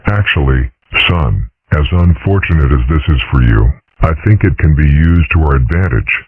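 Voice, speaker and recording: A man voicing a calm, dry-witted dragon father in a fan comic dub, speaking in a deadpan, matter-of-fact way. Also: very deep voice, moderate pace, husky, no echo, exaggerated voice. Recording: dry, phone-like lo-fi sound.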